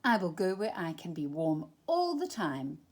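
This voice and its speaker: Storybook penguin fellow, played by a woman reader: stubbornly hopeful, self-assured, emphatic, with lively swooping pitch. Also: normal speed. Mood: bold, eager. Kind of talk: children's storybook character voice.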